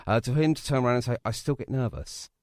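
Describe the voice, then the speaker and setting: A man's voice: medium pitch, animated, fast, a little mellow, no echo, clean studio sound. A man hosting a casual music interview in a chatty, effusive, jokey way, often repeating his phrases. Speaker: podcast interviewer.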